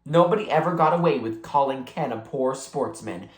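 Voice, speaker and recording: Someone reading a kids novel out loud, really animated, bit of room echo. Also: medium pitch, moderate pace. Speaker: book reader. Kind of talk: animated read-aloud of a children's novel. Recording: echoey room.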